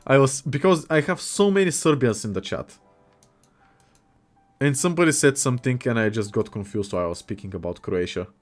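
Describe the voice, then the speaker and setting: A man's voice: mid-range voice, animated, brisk, soft background music. A young man hosting a casual livestream, chatty and jokey as he reacts to viewers' messages. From Bulgaria. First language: Bulgarian. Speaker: livestream host. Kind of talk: casual livestream chat, reading chat aloud.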